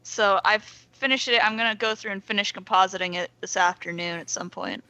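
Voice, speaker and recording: A woman's voice: mid-range voice, brisk, pushed and bright, dry recording, low-quality recording. A young adult woman chatting casually as a guest on a podcast. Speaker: podcast guest, YouTuber and artist. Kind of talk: casual chat.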